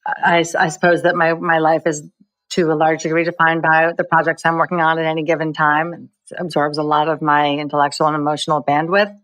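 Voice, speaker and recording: A woman from the United States answers interview questions in a measured, articulate way. Her voice sits very low and stays level, and the recording is dry and close. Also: flat delivery, a bit bright, no room sound, poor audio. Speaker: documentary filmmaker guest.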